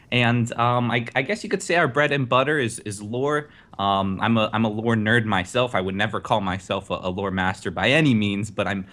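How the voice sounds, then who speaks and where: A man's voice: fairly low pitch, a bit bright. A man introducing himself on a podcast, hesitant, with many fillers and restarts. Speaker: podcast guest, lore video creator. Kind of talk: casual podcast chat.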